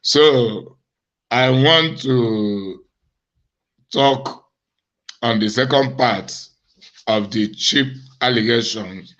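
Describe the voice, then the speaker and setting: A man, an evangelist hosting his own show, speaking slowly and deliberately to viewers in a hoarse, cracked voice from a cough. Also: medium pitch, fairly bright tone. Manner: slow, deliberate, accusatory. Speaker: evangelist and host. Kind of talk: monologue address to viewers. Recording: dull lo-fi recording.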